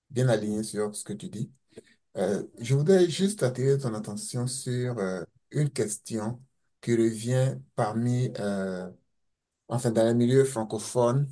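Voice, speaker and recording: A man's voice: mid-range voice, warm tone. A man asking a hesitant question from the floor, with many fillers. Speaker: audience member asking question.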